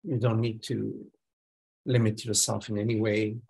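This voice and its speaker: A man leading a guided meditation as a teacher, giving gentle instructions in sparse phrases with long pauses between them. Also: fairly low pitch, flat delivery, creaky, breathy edge.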